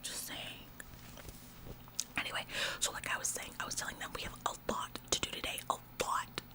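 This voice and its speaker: A woman's voice: natural voice, poor audio. A woman acting a whispering, bossy store employee. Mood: pushy, smug. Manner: halting, repetitive, passive-aggressive nagging. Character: bossy, officious female store employee, smug tattletale. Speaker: ASMR performer. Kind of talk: whispered ASMR roleplay.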